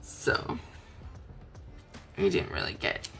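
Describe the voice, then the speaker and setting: A tired woman with a raspy voice, chatting casually as a crafting host, rambling gently. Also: slow pace, loud music behind the voice. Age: adult, aunt. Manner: rambling, chatty, soft-spoken. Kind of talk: casual chat to camera.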